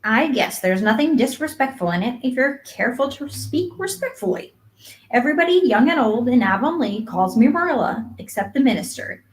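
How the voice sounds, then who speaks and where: A stern, practical woman from a storybook, acted by a female reader. She sounds brisk and disapproving, with a slightly high voice and no sentiment at all. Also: sing-song, rough recording. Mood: matter-of-fact. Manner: brisk, matter-of-fact, disapproving. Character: stern, practical, unsentimental woman raising an orphan. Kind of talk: acted audiobook dialogue.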